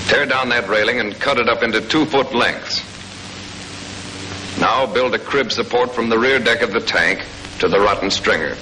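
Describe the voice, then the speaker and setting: A man's voice: medium pitch, moderate pace. An American man giving scripted, matter-of-fact instruction with folksy touches, in the style of a 1940s military training film narrator. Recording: noisy old 1940s film soundtrack.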